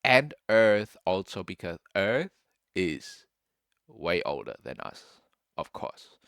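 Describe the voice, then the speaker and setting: A young man from Germany rambles unhurriedly in a relaxed, slangy way. His voice sits a little low and comes across smooth and clear. The sound is dry and close, with no room around it. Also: slow, no echo. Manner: slangy, loose, says bro often. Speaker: vlogger. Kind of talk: casual rambling commentary.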